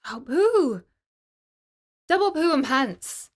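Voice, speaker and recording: Woman playing a loud, sulky schoolgirl. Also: sits high, big pitch swings, takes it slowly, voice pushed up, heavily acted. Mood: disgusted, cross. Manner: loud, dramatic exclaiming. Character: sulky, exclaiming schoolgirl with a grudge.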